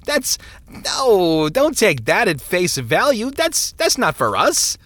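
A man's voice: sits high, wildly swooping pitch, slow pace, fairly bright tone, pitched above the performer's own voice, heavily acted. A man voicing a smug, falsely genial parson in an audiobook story, talking down in a condescending way. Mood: amused, dismissive. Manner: condescending, hesitating mid-phrase.

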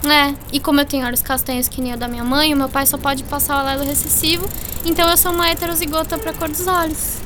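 A woman playing a bright, eager, teasing schoolgirl in a scripted educational radio drama. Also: sits high, steady tone, fast talker, hardly any pauses, noisy background, natural voice. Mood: playful, teasing.

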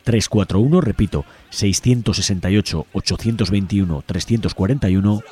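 A man with a Spanish accent from Spain hosts community radio from a studio. His voice is deep and soft-edged as he reads a prepared announcement, with music faintly underneath. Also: brisk, breathy edge.

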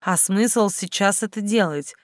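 A woman voicing a cheeky, quick-witted young bride-to-be in an audiobook. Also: medium pitch, no room sound, clean studio sound, lightly acted. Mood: practical. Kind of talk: audiobook character acting.

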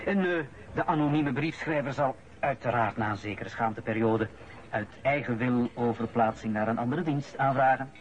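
An actor playing a calculating senior boss in a radio drama, speaking in a measured, formal, persuasive way. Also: male voice, mid-range voice, lightly acted. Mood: smooth, persuasive. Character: calculating male senior boss, smoothly bargaining over a cover-up. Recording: noisy lo-fi old radio play recording.

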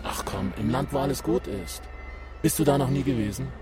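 Radio drama role: cool online gamer male actor, mid-pitched, slangy and forward, music playing behind him. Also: brisk, lightly acted. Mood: impressed, casual. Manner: slangy, casual, brash. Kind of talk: scripted radio drama acting.

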